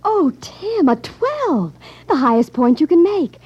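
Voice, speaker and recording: Naive girlish sister, very high lilting voice, gushing, innocent, 1950s radio drama, dulled old recording. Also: very animated, unhurried, a little mellow, muffled recording, full character voice. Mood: admiring, excited. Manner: wide-eyed, gushing, innocent. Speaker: radio actor.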